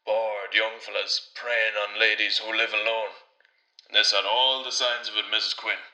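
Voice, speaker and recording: A neighbourly Irish tradesman, played by a man in a radio comedy-drama voicemail. His voice is on the low side and very forceful, yet he goes about things hesitantly and deferentially. The room echoes around him. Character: neighbourly Irish tradesman, awkwardly breaking worrying news.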